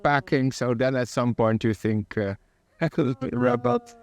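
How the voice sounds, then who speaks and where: A man in his forties with a Dutch accent, talking casually as a diplomat guest, in measured, hesitant phrases. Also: medium pitch, very animated, vocal fry, darkish tone. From Amsterdam, Netherlands. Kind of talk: casual interview conversation. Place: outdoor terrace set.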